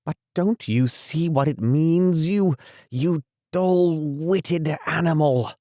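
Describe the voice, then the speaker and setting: A man's voice: on the low side, expressive, slow pace, no echo, studio quality, full character voice. A man doing a brusque, scolding water rat. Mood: impatient. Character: impatient, clever male water rat. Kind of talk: storybook reading.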